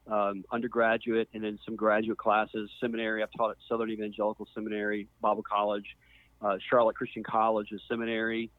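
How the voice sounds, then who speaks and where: A middle-aged man from Mississippi speaking as a podcast guest, explaining his background conversationally with measured pauses. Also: fairly low pitch, dry recording. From Mississippi, US Deep South. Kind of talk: conversational account of own background. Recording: muffled phone-like narrow band.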